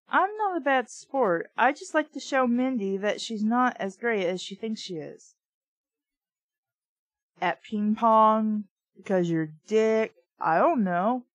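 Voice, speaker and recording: A woman with a slightly high voice reads a children's book aloud at an unhurried pace. She is casual and conversational, often rambling into snarky asides. The recording is dry, with very little room sound. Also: animated, no echo. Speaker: host reading a children's book aloud with commentary.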